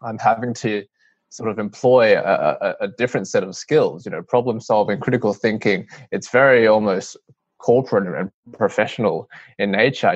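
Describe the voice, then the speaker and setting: An earnest young man with an Australian accent speaks in a low, measured voice, often restarting his sentences. The audio is dry and a little muffled. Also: no room sound. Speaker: guest, CEO of a youth-led charity. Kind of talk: interview answers.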